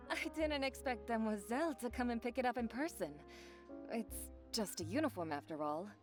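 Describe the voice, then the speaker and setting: A woman's voice: fairly high pitch, expressive, unhurried, over music. A woman voicing a refined, polite shopkeeper in a game, wry and self-deprecating.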